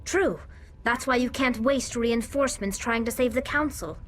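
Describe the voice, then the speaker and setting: A woman's voice: a little high, normal speed, close to an everyday voice. An actress playing an urgent, hard-headed squadmate advising her commander in a video game cutscene. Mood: insistent, cautioning.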